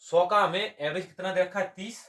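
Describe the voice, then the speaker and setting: Male tutor, fairly high voice, explains step by step and answers his own questions. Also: fairly even intonation, brisk, fairly bright tone. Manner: asks questions and answers them himself. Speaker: exam coaching maths teacher. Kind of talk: step-by-step lesson.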